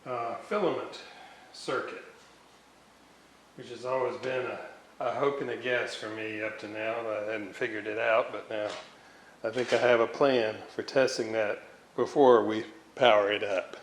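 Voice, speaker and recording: Slow-talking man in an echoey workshop. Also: fairly low pitch, steady tone. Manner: unscripted, thinking aloud while working. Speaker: radio repair hobbyist and video host. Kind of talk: casual hands-on workbench narration. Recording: roomy echo, noticeable background noise.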